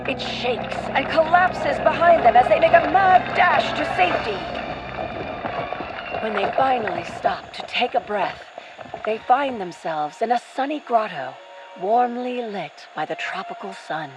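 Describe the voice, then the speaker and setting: A woman's voice: a little high, expressive, measured pace, low-quality recording. A woman narrator reading scripted adventure storytelling in a theatrical, literary manner. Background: music.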